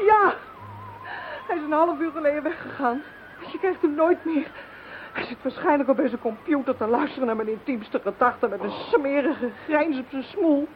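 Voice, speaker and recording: A woman's voice: sits high, normal speed, natural voice. A woman acting a frightened, bitter, overwrought heroine in a radio drama. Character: frightened, bitter woman under constant mental surveillance. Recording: dull, faintly noisy radio recording.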